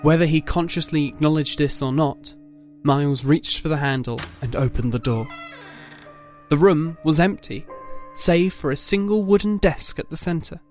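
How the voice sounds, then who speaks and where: A man giving a measured, literary narration of a scripted dramatic story. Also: a little high.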